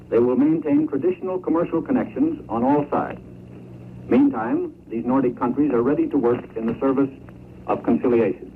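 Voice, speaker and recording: A man's voice: medium pitch, phone-quality sound. A man reading scripted 1930s radio news as a correspondent, over a thin, noisy old broadcast link.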